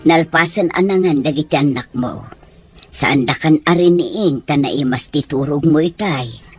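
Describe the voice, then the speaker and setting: A woman playing a gentle, caring older mother in an audio drama, speaking in a tender, consoling way. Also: deep voice, a little slow, husky, soft background music. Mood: tender concern.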